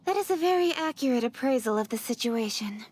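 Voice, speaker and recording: A woman's voice: fairly high pitch, lively intonation, fairly quick, darkish tone, breathy edge. An actress playing a poised, formal young woman in an anime-style video game, articulate and composed. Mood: measured, polite.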